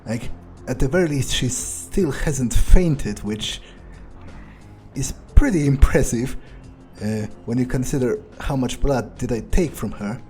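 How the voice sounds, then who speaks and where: Slow, mellow man musing in character. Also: medium pitch, faint music behind. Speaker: gameplay player staying in character. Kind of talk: in-character improvised game commentary, thinking aloud.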